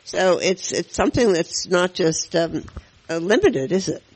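A man hosting a radio interview, asking questions in a conversational, halting way. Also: high-pitched, animated, no echo, poor audio. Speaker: radio host.

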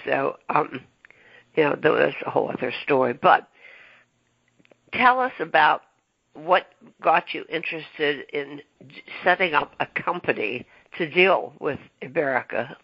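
A man hosting a casual interview, talking haltingly with many ums and restarts. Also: fairly high pitch, expressive, creaky, husky, dry recording. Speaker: podcast host. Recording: dull lo-fi dry recording.

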